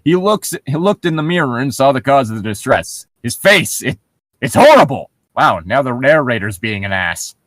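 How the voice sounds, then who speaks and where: Male comedic reader, animated and wide-ranging, dry recording, profane sarcastic asides in a casual style. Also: mid-range voice, moderate pace, dry recording. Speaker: host reading and riffing on a horror story aloud. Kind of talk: comedic read-aloud with casual commentary.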